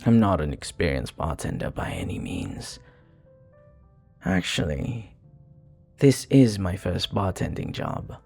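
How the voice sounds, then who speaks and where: A very deep, husky male vampire bartender, acted by a man, murmurs in an intimate ASMR roleplay. He is suave, flirty and courteous, taking his time over each phrase. His gravelly voice often sinks toward a whisper. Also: full character voice. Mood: modest, flattered. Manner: suave, courteous, teasing, unhurried.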